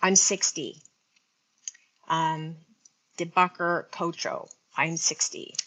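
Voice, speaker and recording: A woman's voice: sits low, level tone, slow, a bit bright, rough recording. A sixty-year-old woman hosting a casual beauty live stream, chatting and rambling to her viewers, talking into an earphone mic linked to her phone. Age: 60s. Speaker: beauty livestream host.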